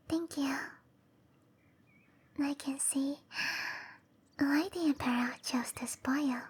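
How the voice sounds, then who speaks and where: A woman voicing a shy, gentle young cherry blossom dryad in a breathy, hesitant whisper for roleplay ASMR. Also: sits high, fairly even intonation, extremely slow, pauses often. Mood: shy, caring. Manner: hesitant, bashful, softly spoken. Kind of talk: roleplay ASMR, intimate and slow.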